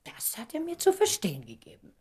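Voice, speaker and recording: A self-important young diva in a radio drama. Her delivery is highly animated, swinging widely in pitch, and full of wounded pride and theatrical indignation. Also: fairly quick, slightly rough, exaggerated voice. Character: vain, theatrical, wounded stage star of twenty-nine. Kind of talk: German radio play acting.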